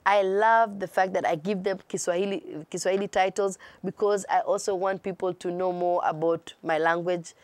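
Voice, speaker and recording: A woman's voice: on the low side, steady tone, moderate pace, few pauses, dry recording. A woman from Kenya with a Kenyan accent and Swahili as her first language, answering interview questions expressively. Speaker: guest artist.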